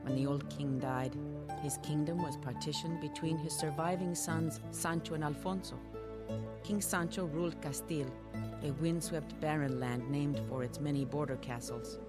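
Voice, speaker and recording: A woman's voice: low voice, steady tone, normal speed, over loud music, close to an everyday voice. Proud, sorrowful female storyteller acted. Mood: proud, solemn. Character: proud, sorrowful loyal storyteller of a fallen knight. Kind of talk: dramatic scripted game narration.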